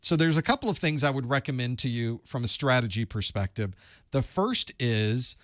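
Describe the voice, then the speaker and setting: Man, call-in study group host, conversational, thinks out loud, dry close sound. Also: medium pitch, lively intonation, normal speed, no room sound. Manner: conversational, thinks aloud with restarts. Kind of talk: live call-in Q&A advice.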